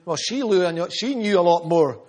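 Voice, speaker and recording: Male preacher, high-pitched, earnest storyteller. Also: animated, unhurried, darkish tone. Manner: earnest, storytelling, repeats phrases for emphasis. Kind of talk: sermon.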